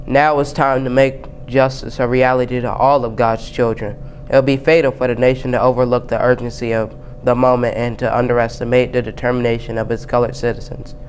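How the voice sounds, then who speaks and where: A young male student reads a formal speech aloud. His delivery is flat and even in pitch, at a medium register. A faint rumble sits in the background. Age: young teen, 7th grade student. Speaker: student reader. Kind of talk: reading a famous speech aloud.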